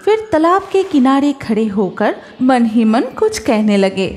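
A woman narrating a scripted children's story in an expressive storytelling manner. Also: fairly high pitch, unhurried.

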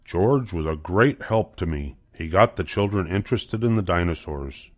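A man voicing a kindly, patient male schoolteacher in a storybook, in a measured, explaining way. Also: deep voice, lively intonation, no echo.

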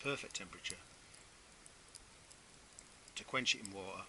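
A man's voice: low-pitched, moderate pace, sharp tone, slight background noise. A man giving a casual step-by-step how-to while working outdoors on a garden patio, often restarting phrases as he explains. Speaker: DIY video maker.

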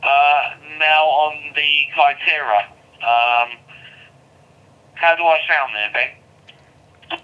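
A man's voice: fairly high pitch, steady tone, extremely slow, long pauses, clear, resonant tone. A male amateur radio operator in a casual two-way radio chat, phrasing things in hesitant, stop-start pieces. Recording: dull, noisy handheld radio transmission.

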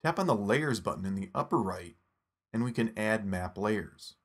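A man narrating a scripted phone app tutorial in a steady, instructive step-by-step voice. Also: on the low side, normal speed.

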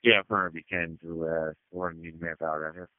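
Low-voiced male fire commander on radio. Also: flat delivery, fairly quick, slight background noise. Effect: two-way radio. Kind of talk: procedural radio progress report. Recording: muffled, phone-like radio transmission.

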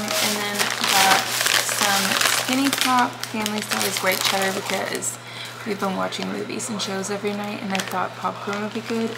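A woman in her twenties with an American accent, chatting casually to camera at home with a creaky voice. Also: medium pitch, heavy background noise. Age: 20s. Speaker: vlogger. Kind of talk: casual vlog chat to camera. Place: indoors at home, living room and kitchen.

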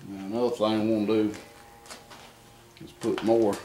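A man talks through an engine rebuild in a garage workshop, plain-spoken and unhurried, thinking aloud as he works. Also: on the low side, flat delivery, mellow, low-quality recording. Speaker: home mechanic demonstrating an engine rebuild. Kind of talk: casual hands-on how-to. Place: barn or garage workshop, indoors.

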